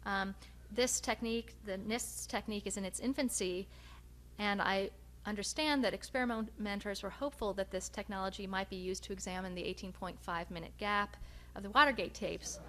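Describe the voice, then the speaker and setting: Woman giving a research talk, kinda careful and halting, average pitch, some humming noise in the background. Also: bright, forceful tone. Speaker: researcher presenting at a conference. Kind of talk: research presentation to an audience. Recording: noticeable background hum and noise.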